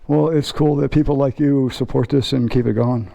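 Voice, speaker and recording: A man's voice: medium pitch, fairly even intonation, normal speed, creaky, dark tone, no echo, dull audio. A man vlogging his motorcycle trip, chatting casually to viewers and joking with a laugh, his throat dry. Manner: jokey, laughs often, talks to viewers. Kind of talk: casual vlog chat. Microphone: camera-mounted mic. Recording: music playing underneath.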